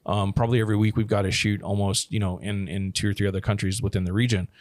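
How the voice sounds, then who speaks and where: Laid-back low-voiced man, casual podcast chat, loose rambling delivery, dry close sound. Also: flat delivery, fast talker, a little mellow, dry recording. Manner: rambling, many restarts and "you know". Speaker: production company owner. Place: living abroad, working from Thailand.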